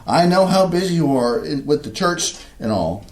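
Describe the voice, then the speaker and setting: A man's voice: fairly high pitch, sing-song, moderate pace, low-quality recording. An actor in a community staged reading playing a fussy, orderly Midwestern husband and father who grumbles as he explains.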